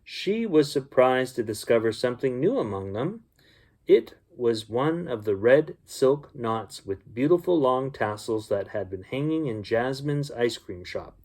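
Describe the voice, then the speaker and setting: A man with a soft-edged, mellow tone reads a bedtime story for children. His delivery is gentle and unpolished, moving between storybook reading and informal talk to his young listeners. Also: medium pitch, lively intonation, a little slow. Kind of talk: storybook read-aloud with casual asides.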